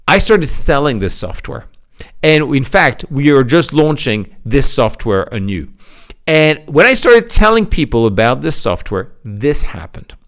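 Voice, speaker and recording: A man's voice: mid-range voice, fairly bright tone. A man giving a motivational sales talk, pressing his points with emphatic swings. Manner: emphatic, rising and falling for effect. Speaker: speaker presenting to an audience.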